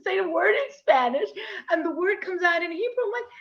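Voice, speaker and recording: A woman hosting a podcast interview, enthusiastic and animated, acting out little asides. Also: very high voice, fairly quick. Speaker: podcast host. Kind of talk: casual interview. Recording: muffled, echoey room audio.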